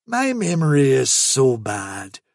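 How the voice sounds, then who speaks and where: Storybook rabbit leader, male reader: fatherly, mock-kindly, slightly husky, raised pitch, patient condescension. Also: lively intonation, normal speed, dry recording, studio quality, exaggerated voice. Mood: patient, weary. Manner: patient, reasonable, gently condescending. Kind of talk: storybook character reading.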